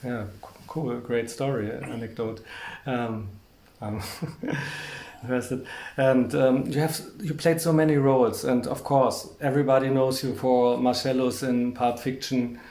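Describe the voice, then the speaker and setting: A man with a German accent conducting a conversational film interview, telling anecdotes in a rambling, halting way. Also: medium pitch, flat delivery, a little mellow. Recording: echoey room.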